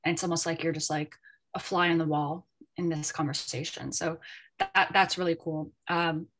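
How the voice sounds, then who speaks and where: Lower-pitched woman explaining informally. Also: steady tone, moderate pace, fairly bright tone. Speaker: editor and panel guest. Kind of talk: panel Q&A, explanatory talk.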